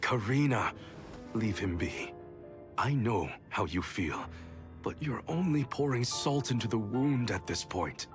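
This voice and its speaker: A man voicing a calm, level-headed young adventurer in a video game, speaking in a measured, reasoning way. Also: medium pitch, soft background music, lightly acted. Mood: firm, reproving. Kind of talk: video game cutscene acting.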